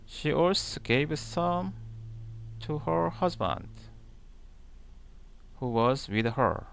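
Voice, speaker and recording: A man reading scripture aloud in a deliberate manner, pausing between short, halting phrases. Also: mid-range voice, gravelly, a bit bright. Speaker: channel host reading the Bible aloud. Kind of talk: slow scripture read-aloud.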